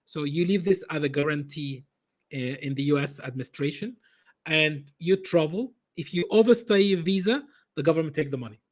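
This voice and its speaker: A man answering interview questions in a halting way, with many restarts and repeated words. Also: on the high side. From Egypt. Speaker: business consultant guest.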